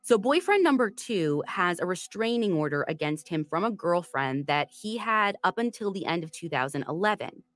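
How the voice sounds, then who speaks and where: A woman's voice: on the low side, very animated, moderate pace, hardly any pauses. A woman hosting a true crime podcast, retelling a case in a chatty, storytelling way. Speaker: podcast host.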